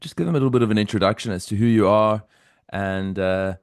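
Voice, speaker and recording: A man's voice: on the low side, quick pace, clean tone, dry recording, clean studio sound. A man hosting a podcast interview, asking long, chatty, winding questions in a casual way. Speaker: podcast host.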